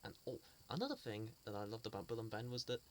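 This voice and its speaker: A man fondly recalling a children's show he loves, speaking in an earnest, halting way with frequent restarts and repeated words. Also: fairly low pitch, big pitch swings, slight background noise. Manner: earnest and fond. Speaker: fan sharing memories. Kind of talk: casual spoken reminiscence.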